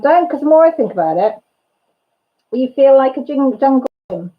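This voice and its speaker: A woman's voice: high voice, big pitch swings, normal speed, dull audio. Chatty middle-aged Welsh woman. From Wales. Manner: chatty, giggly, reads comments aloud, playful repetitions. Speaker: channel host. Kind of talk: casual chat reading viewer comments.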